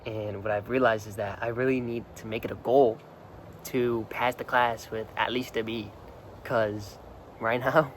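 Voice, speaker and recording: A young man in casual talk to the camera, slightly hoarse from singing the night before, rambling earnestly and repeating his words. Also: lowish voice, steady tone, a little slow, a little hiss or hum. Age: young adult. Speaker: vlogger. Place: outdoors, hot and sunny.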